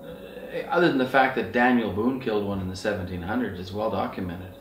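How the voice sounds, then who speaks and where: Middle-aged man, lowish voice, earnest off-the-cuff storyteller, recorded at a distance in a roomy space. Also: fairly quick, a little hiss or hum. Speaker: outdoor outfitter and Sasquatch researcher. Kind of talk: casual rambling anecdotal talk. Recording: roomy echo, distant mic, faint music.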